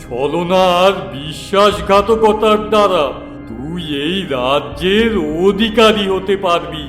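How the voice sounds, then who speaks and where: A man voicing a menacing dark spirit in a fairy-tale cartoon, solemn and commanding, offering power at a price. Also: high-pitched, expressive, slow, exaggerated voice. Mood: ominous. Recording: cavernous reverb with music behind.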